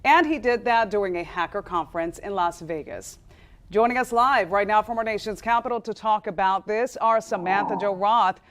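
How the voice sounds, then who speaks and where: A woman's voice: medium pitch, moderate pace, fairly bright tone. An American woman working as a news anchor, presenting a live television broadcast.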